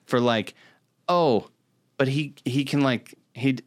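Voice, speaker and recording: A man's voice: mid-range voice, slow pace, dry recording, clean studio sound. A man co-hosting a podcast, chatting casually and critiquing with emphatic, mock exasperation. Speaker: podcast co-host. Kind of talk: casual chat about video game movies.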